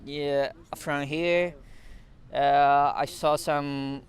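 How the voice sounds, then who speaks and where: A man whose first language is Mandarin, speaking English with a Chinese accent in a casual interview, pausing often with fillers and restarts and chuckling now and then. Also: on the high side, steady tone, clean tone. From China. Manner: halting non-native English with frequent fillers and restarts. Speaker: interview guest.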